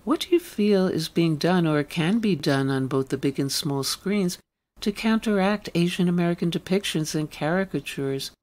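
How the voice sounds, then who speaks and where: Male, slightly high pitch, radio interviewer, posing questions to a guest. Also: normal speed, darkish tone.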